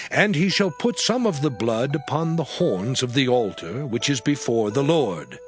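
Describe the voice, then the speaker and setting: A man reading scripture aloud in a solemn, measured dramatized narration, with music playing underneath. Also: mid-range voice, no echo. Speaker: scripture reader. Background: music bed.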